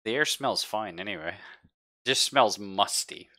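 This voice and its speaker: Deep-voiced, bright-toned male game master. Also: animated, measured pace. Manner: casual, profane, slangy. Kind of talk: improvised narration of a tabletop roleplaying game.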